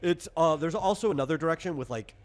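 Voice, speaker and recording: A man's voice: on the high side, fast. Man rambling through game rules. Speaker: game designer and game master. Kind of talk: casual explanation of tabletop game rules.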